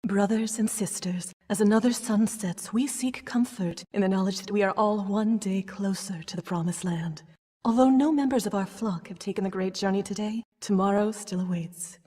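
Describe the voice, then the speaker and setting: An actress playing a solemn older female cult leader in a video game, preaching in a measured, ceremonial manner. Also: medium pitch, warm tone, slightly rough, close to an everyday voice. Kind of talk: sermon-like video game acting. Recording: long cathedral-like reverb.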